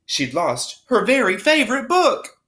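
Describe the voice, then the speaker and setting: A woman reading a rhyming picture book aloud to children with expressive, dramatic storytelling. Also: a little high, unhurried. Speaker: storybook reader.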